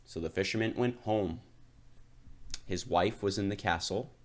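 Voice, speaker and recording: A man's voice: deep voice, measured pace. A man narrating a children's storybook aloud as a storyteller.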